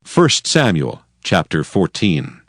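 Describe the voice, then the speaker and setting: A man narrating scripture in a measured, solemn, scripted reading for a dramatized audio production. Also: sits low, huge pitch swings, no room sound, studio quality.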